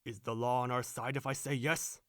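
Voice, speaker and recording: A man's voice: mid-range voice, little pitch movement, brisk, dry recording, studio quality, natural voice. An actor playing a brash, boastful young male servant with a crude swagger in an audio drama. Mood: cocky.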